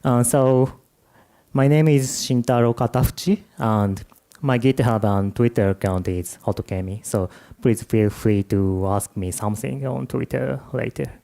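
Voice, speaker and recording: Japanese-accented male conference speaker, low mellow tone, jet-lagged, hesitant delivery. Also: vocal fry. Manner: halting, with many uh and um fillers. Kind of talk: tech conference talk. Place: conference room.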